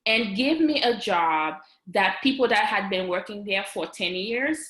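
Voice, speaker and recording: A woman's voice: medium pitch, lively intonation, a little slow, fairly bright tone. Woman, 30s, Haitian accent, chatty podcast guest, echoey video-call sound. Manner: chatty, laughs often, many fillers and restarts. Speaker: guest, registered nurse and business owner. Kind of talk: casual interview chat. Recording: roomy echo.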